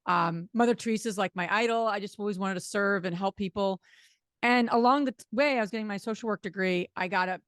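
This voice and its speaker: A middle-aged woman with a slight New Jersey accent chats quickly, full of fillers. Her pitch sits in the middle, and the sound is dry and close to the mic. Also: fairly bright tone, smooth, no room sound. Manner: fast-talking, chatty, many fillers. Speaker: guest, real estate investor. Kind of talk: casual interview talk.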